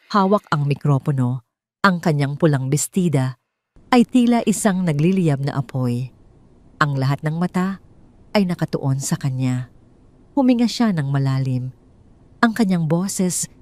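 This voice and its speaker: A woman's voice: deep voice, darkish tone. A woman narrating a scripted story in a dramatic storytelling style.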